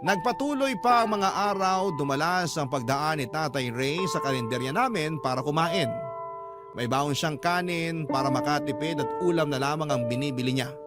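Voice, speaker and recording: A man narrating a scripted first-person radio drama story in a measured, sentimental way. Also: a little high, lively intonation. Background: prominent music.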